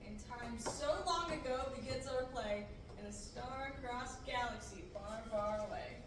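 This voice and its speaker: A stately stage chorus, read by a young woman with a high voice, declaiming verse. The sound is distant and echoey, like a camera across a classroom, with steady hiss. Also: measured pace, natural voice. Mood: grand. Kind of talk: reading a verse prologue. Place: large classroom. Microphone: far camera. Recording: echoey room from far off, hiss.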